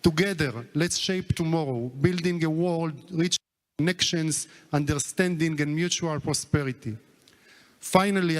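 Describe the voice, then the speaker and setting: A man delivering a formal prepared speech as a government minister, in a measured way. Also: a little high, in a large hall.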